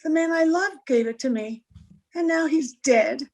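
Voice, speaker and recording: Woman acting high-voiced, proud, anxious society wife. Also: normal speed. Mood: pained, confiding. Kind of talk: 1940s radio drama acting.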